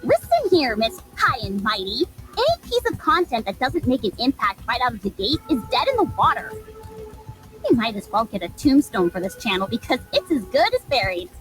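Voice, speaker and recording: A woman's voice: on the high side, sing-song, moderate pace, fairly bright tone, faint music behind, low-quality recording. A woman voicing a brash young female media hustler in a video game, blunt and sarcastic, with exaggerated delivery. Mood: scornful. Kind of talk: video game cutscene voice acting.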